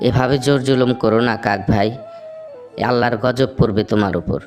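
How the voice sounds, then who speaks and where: A man's voice: medium pitch, steady tone, brisk, a little mellow, soft background music, natural voice. A man voicing an honest, hardworking, trusting small bird in a cartoon fable, earnest and polite. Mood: indignant.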